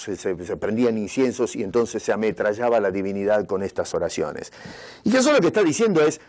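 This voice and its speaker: Earnest Argentine pastor preaching. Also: male voice, mid-range voice, darkish tone. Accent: Argentine Spanish. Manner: earnest, rhetorical questions to the congregation. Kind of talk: sermon. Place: church retreat in Canada.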